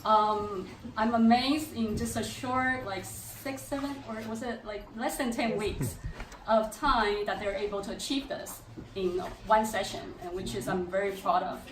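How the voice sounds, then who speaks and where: A woman's voice: mid-range voice, fairly even intonation, measured pace. A woman, a parent, giving an informal testimonial speech to an audience. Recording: echoey room, distant mic, faint noise.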